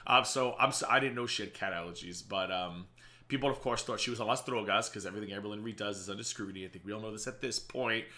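A man in his thirties hosting a casual reaction video from a small home office, slightly raspy, chatty and sarcastic. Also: on the low side, sharp tone. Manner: chatty and sarcastic, quick asides. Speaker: reaction channel host.

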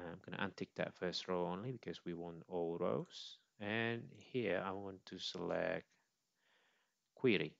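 A man presenting an informal step-by-step software demo, on a slightly dull recording with mouse clicks. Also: sits low, vocal fry, no room sound.

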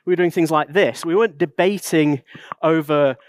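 A man's voice: fairly high pitch, moderate pace, clear, resonant tone, no room sound, studio quality. A man in his thirties giving a conference talk, nasal from a bad cold, wry and self-deprecating. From Britain. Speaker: conference speaker. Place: large dark conference stage.

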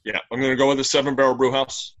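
A middle-aged man speaks over a webcam call from a small room, sounding bright and upbeat. He talks plainly and in detail as an interview guest. His delivery is easygoing and conversational. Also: medium pitch, little pitch movement, racing, lo-fi sound. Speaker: brewery owner, guest. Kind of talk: casual video-call interview. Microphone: webcam on a video call.

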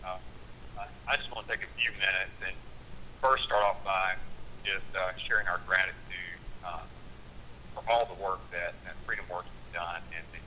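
A man's voice: low-pitched, pushed and bright, a little hiss or hum, low-quality recording. A congressman from Georgia giving earnest, rallying political remarks over a telephone conference call. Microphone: telephone conference line.

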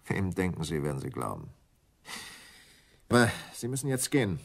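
A cool, calculating male schemer in a radio crime play. His voice is very low yet moves freely in pitch, as he lays things out with controlled command. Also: wildly swooping pitch, moderate pace, gravelly, husky, exaggerated voice. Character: cool, calculating husband plotting a staged kidnapping. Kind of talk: radio crime drama acting.

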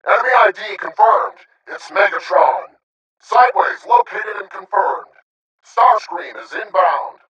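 Video game robot soldier, combative, commanding, clipped combat callouts, sharp forceful voice, dull distant echoey sound. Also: male voice, high voice. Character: male robot sniper soldier, disciplined, confident, combative. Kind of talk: military radio-style combat callouts.

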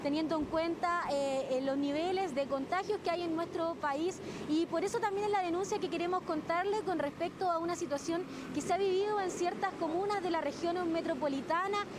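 A Chilean woman with a very high voice reports live on location, steady and a little hesitant now and then. Clear background noise surrounds her on the outdoor feed. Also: fairly quick, few pauses. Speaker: field reporter.

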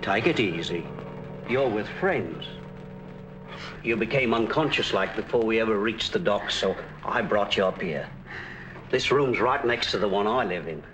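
An actor playing a kindly, chummy English ex-boxer cab driver in a 1940s film. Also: male voice, on the low side, expressive, measured pace, fairly bright tone, rough recording. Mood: gentle, reassuring. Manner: chummy, soothing, colloquial. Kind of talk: 1940s film noir acting. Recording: old 1940s film soundtrack with music.

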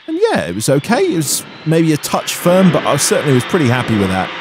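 A man's voice: on the high side, wildly swooping pitch, fast, noisy background. An Englishman in his thirties, a pilot vlogging about flying in a matter-of-fact way. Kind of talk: vlog narration and cockpit talk. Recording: faint engine drone behind.

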